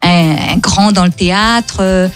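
A woman from Réunion speaks French with a Réunionese accent, Creole being her first language. She tells stories in a lively, repetitive way, laughing often, with faint music behind her. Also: mid-range voice, measured pace. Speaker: comedian and guest. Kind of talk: casual storytelling interview.

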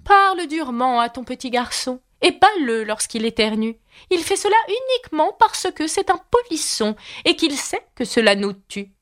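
A woman doing a raspy bad-tempered duchess. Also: high-pitched, big pitch swings, moderate pace, a bit bright, voice pushed up. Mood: sing-song. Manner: abrupt, snapping, sing-song when chanting. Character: bad-tempered rude old duchess, harsh and bossy. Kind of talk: audiobook character voice.

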